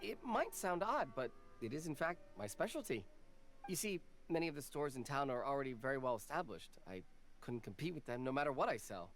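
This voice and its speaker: A woman's voice: extremely low pitch, sing-song, brisk, faint music behind. A woman playing a humble female snack vendor in a video game, earnest, deferential and easily flustered. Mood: earnest, chatty.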